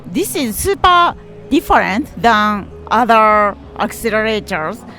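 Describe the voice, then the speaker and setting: A woman interviewer hosts a startup podcast and asks her guest loose, tentative questions. Her pitch moves around in a lively way. Faint music plays in the background at the event. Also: slow pace.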